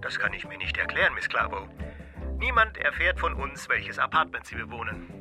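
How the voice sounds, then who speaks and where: An obliging hotel manager in a radio play, with a very bright, forceful voice at middle pitch. He is over-polite, apologetic and placating, with a radio-like sound and faint music. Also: very animated, brisk. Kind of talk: radio drama acting.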